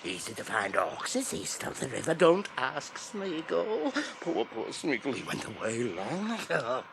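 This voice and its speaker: An actor playing a wretched, sly, fawning creature in a radio drama, repeating words and muttering to himself in a rasping voice. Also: male voice, medium pitch, sing-song, unhurried. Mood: wretched, haunted. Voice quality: rasping, croaking, swooping into shrieks and whispers. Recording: noisy old radio drama recording.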